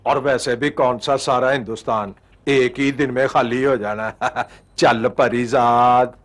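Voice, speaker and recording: An actor playing a cocky, hopeful horse-cart driver in a television drama, speaking colloquially with a Punjabi accent in a jaunty, sardonic way. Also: male voice, medium pitch, steady tone, a little slow, no echo, close to an everyday voice. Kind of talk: colloquial television drama acting, musing aloud.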